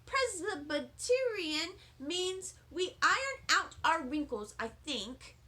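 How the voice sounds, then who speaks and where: A woman's voice: very high voice, big pitch swings, bright, forceful tone, voice pushed up, heavily acted. A woman voicing a thoughtful, sincere little first-grade boy in a storybook, pondering as he talks. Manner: slow, pondering.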